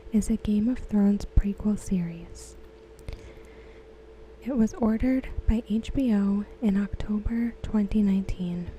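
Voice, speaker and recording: A woman speaks softly in an ASMR style, reading in a breathy near-whisper with a very dark, relaxed tone. Her delivery is slow, gentle and soothing, close to the mic. A faint background noise sits behind her voice. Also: medium pitch, rough recording. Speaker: ASMR host. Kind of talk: soft-spoken ASMR reading.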